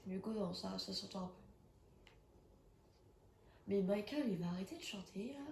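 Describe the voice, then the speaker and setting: A woman's voice: medium pitch, lively intonation, moderate pace, gravelly, a little mellow, reverberant, a little hiss or hum. A young woman with a cold, chatting casually and reacting with jumpy exclamations as she plays a horror game. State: has a cold. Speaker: gaming streamer. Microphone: phone.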